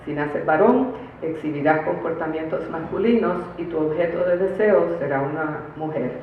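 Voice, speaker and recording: A woman delivers a formal conference lecture, reading deliberately from a prepared paper. She is heard from far off in a very echoey room, through thin, phone-like sound. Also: deep voice. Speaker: academic conference speaker. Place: conference room, recorded from a distance. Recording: distant, very echoey room, phone-like, faint noise.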